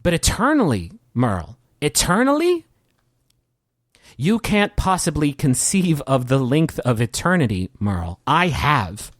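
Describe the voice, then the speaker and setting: A man playing a weary, quietly despairing nihilist villain in a tabletop game, given to halting, grandiose philosophical monologues. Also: on the high side, wildly swooping pitch, no echo, studio quality, exaggerated voice. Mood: anguished, despairing.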